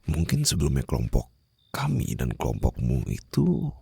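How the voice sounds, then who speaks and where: Man acting as a very deep, gravelly mafia boss. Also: wildly swooping pitch, moderate pace, dark tone, heavily acted. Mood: stern, accusing. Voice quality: very low, gravelly, husky. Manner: formal, condescending, controlled, mockingly sweet. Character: cold, menacing male mafia boss taunting his captive. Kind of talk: ASMR roleplay acting, addressed to the listener. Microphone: close studio-style microphone.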